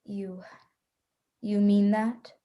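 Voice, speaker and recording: A woman's voice: medium pitch, flat delivery, drawn-out words, warm tone. A woman playing a frightened but resolute hunted heroine, narrating a radio play in a dramatic, suspenseful way. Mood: uneasy defiance.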